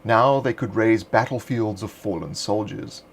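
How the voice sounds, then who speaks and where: Low-voiced man reading measured narration. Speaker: narrator of a game supplement. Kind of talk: scripted narration.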